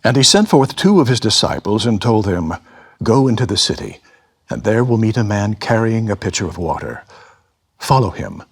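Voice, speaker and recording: A man with a husky voice giving a solemn, expressive dramatic reading of scripture as a voice actor. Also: on the low side, moderate pace.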